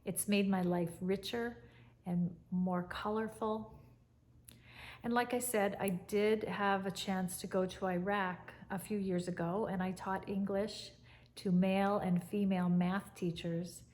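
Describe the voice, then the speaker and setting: Woman, 50s, gentle English teacher, mid-pitched voice, slow and reflective home chat. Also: steady tone. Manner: warm, soft-spoken and unhurried, with fond reflective pauses. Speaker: English teacher, channel host. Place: living room by a fireplace, indoors. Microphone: camera at chest height, seated.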